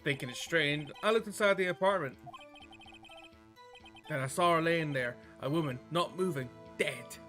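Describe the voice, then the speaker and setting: A man voicing a shifty newspaper seller giving stiff, deliberate testimony in a visual novel game. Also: on the high side, pushed and bright, faint music behind. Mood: recounting.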